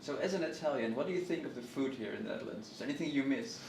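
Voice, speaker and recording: A man asking short interview questions in a brisk way. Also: medium pitch, expressive, vocal fry, darkish tone. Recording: roomy, distant mic, faint noise.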